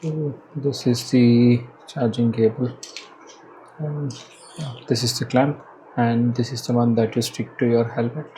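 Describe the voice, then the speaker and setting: Slow, halting Indian man, mellow voice. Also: medium pitch, fairly even intonation. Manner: halting, hesitant, thinking aloud. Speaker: product reviewer. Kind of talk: casual unboxing and installation commentary. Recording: clearly noisy background ambience.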